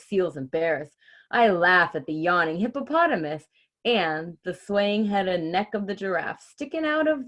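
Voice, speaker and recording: A woman's voice: medium pitch, fairly bright tone. A woman reading a children's story aloud expressively and chatting casually as host.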